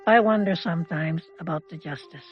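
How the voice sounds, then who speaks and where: A woman recalls personal memories in a reflective manner. Her voice sits on the low side. The recording is somewhat muffled, with soft music underneath. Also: fairly quick. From Canada. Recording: muffled audio with music behind.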